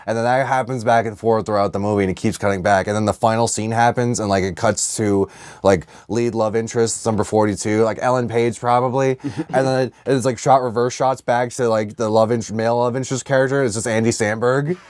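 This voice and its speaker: A man's voice: on the low side, smooth, no room sound, studio quality. A man co-hosting a podcast in casual comedic chat, rambling along in slangy, profanity-laced talk. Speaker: podcast co-host.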